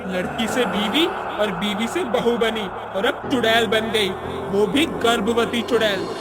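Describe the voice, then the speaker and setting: A performer voicing a vengeful pregnant witch in an animated story, scornful and threatening toward everyone addressed. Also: mid-range voice, a little slow, heavy background noise, natural voice. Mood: bitter. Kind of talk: Hindi animated moral story voice acting. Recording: loud music behind the voice.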